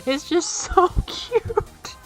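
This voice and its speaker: A young woman YouTuber talking casually in a soft, airy, slightly nasal voice, excitable and rambling, her pitch leaping up when she quotes people. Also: extremely slow, faint music behind. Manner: enthusiastic, excitable, jumps up in pitch when quoting; rambling with fillers and repeated words. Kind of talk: casual video essay.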